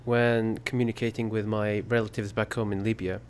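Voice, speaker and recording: A man's voice: fairly low pitch, flat delivery, fairly quick, a little hiss or hum. A man from the UK, chatting thoughtfully and easily on a podcast. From United Kingdom. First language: English. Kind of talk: casual podcast chat.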